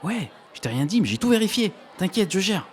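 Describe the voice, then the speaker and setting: A man's voice: high-pitched, sing-song, brisk, slight background noise. A man playing a cocky, reckless young schemer in a French audio drama, breezy, slangy and persuasive. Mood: eager, cocky.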